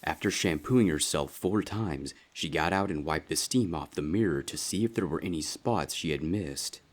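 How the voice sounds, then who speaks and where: A man reading scripted fan fiction as a dramatic story narrator, with a husky voice. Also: extremely low pitch, moderate pace.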